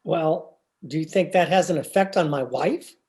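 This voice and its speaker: A man's voice: fairly high pitch, normal speed, a little mellow, lightly acted. A man playing a flustered, mild-mannered banker husband in an old-time radio comedy, hesitant and exasperated. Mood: deferential.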